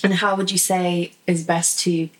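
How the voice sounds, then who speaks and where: A woman co-host of a podcast speaks in a lowish voice at an unhurried pace. She mostly asks questions and chimes in with brief agreeing replies. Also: little pitch movement, poor audio. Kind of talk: podcast interview.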